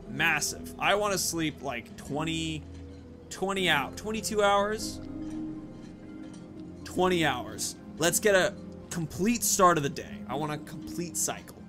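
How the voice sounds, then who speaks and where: A man giving casual let's play commentary as a gaming host, rambling and thinking aloud. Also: fairly high pitch.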